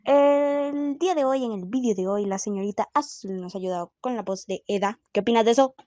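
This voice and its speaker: A woman's voice: on the high side, fairly quick, dark tone, no room sound. A woman hosting her channel, chatting casually to viewers. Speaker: channel host.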